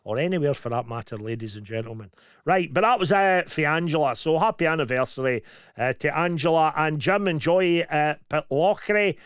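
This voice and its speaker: A man's voice: a little high, normal speed, fairly bright tone, clear, resonant tone, dry recording, clean studio sound. A Scottish man co-hosting a radio show, chatting casually with his co-host and reading out listener messages with a joking manner and plenty of fillers. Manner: chatty, jokey, many fillers. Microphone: studio mic.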